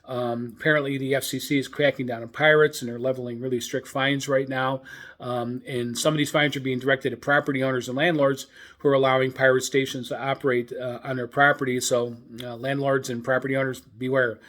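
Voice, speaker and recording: A man hosting a hobbyist radio news show in an informal, halting, hesitant manner. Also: medium pitch, flat delivery, fairly bright tone.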